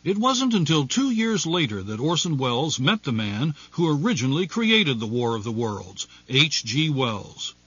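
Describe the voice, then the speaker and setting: A man's voice: on the high side, big pitch swings, normal speed, hardly any pauses, a bit bright, breathy edge, no room sound, lo-fi sound. A man delivering scripted documentary narration. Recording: dry but slightly band-limited.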